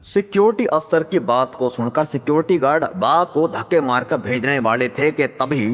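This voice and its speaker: A man's voice: mid-range voice, moderate pace, in a large hall, highs cut off, faint music behind. A man with a Haryanvi accent narrating an audio drama in a dramatic scripted storytelling style.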